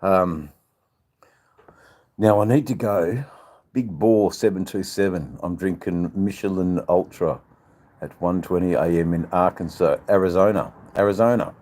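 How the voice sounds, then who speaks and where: An older man with an Australian accent and a husky voice, chatting casually to livestream viewers in a rambling, drawn-out, joking way. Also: low-pitched, a little mellow. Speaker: livestream channel host. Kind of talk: casual live chat with viewers.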